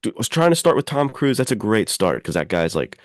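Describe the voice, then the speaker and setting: A man hosting a podcast, discussing films casually and in an animated way. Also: fairly low pitch, fairly quick, dry recording, clean studio sound. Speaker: podcast host.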